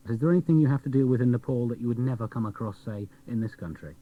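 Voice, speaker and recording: A man's voice: medium pitch, racing. A man presenting a children's radio show, chatting directly to the listeners. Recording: dull old cassette radio recording.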